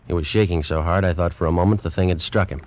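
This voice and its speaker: An actor playing a determined male animal collector who gives brisk, dramatic first-person narration in a 1940s radio drama. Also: low-pitched, steady tone, darkish tone, lightly acted. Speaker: narrator and lead. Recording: dull old radio recording with music.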